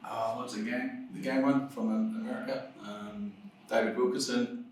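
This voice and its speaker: A man's voice: mid-range voice, little pitch movement, moderate pace, distant mic, a little hiss or hum. Hesitant New Zealand man, echoey room. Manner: hesitant, many ums and restarts. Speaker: guest. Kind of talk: interview storytelling.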